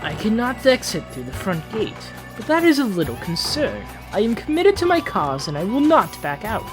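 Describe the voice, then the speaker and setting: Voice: medium pitch, very animated, moderate pace, music in the background, natural voice. A performer voicing a proud, entitled eldest prince, reading fantasy journal entries in a formal, theatrical manner. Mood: wary, puzzled. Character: Prince Johannes, proud, haughty, entitled eldest royal son on a quest. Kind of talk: dramatic fantasy journal narration, fan dub.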